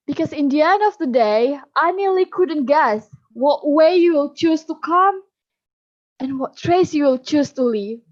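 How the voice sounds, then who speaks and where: A woman recites a spoken-word poem with a bitter edge. Her voice is high, and she takes each line slowly. The recording sounds dull and lo-fi. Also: animated. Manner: slow, measured, bitter delivery. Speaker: poetry contestant.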